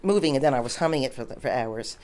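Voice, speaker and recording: A woman's voice: a bass voice, animated, quick pace, a little mellow. An older woman hosting an interview, chatty and encouraging with her guest. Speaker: interviewer host. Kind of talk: casual interview chat.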